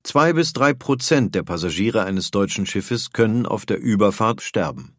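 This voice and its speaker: A man's voice: fairly low pitch, animated, normal speed, a bit bright, no echo. A man speaking as an interviewed expert, explaining in plain documentary interview talk. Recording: faint ambient noise.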